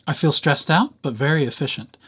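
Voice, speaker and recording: A man presenting a casual software tutorial as a host, with playful, dryly humorous asides. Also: on the high side, a little fast, slightly rough.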